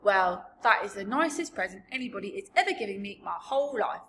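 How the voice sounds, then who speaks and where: A woman playing a gentle old muddle-worded giant man. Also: fairly high pitch, animated, normal speed, a little hiss or hum, voice pushed up. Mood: delighted. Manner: mangled grammar and invented nonsense words. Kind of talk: storybook character voice.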